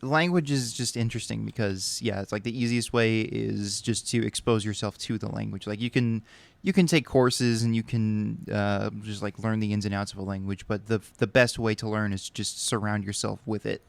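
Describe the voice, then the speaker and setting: A young man co-hosting a podcast, chatting casually in a relaxed, rambling way with many fillers. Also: lowish voice, normal speed, vocal fry, dry recording. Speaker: podcast co-host. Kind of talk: casual chat.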